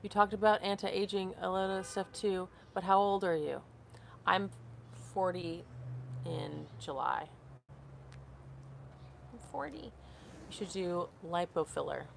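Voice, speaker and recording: Woman, late thirties, lower pitch, live-stream host reading comments, casual and scattered. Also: gravelly, a little hiss or hum. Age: 30s. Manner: rambling, reads comments aloud and loses her train of thought. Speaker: skincare vlogger hosting a live stream.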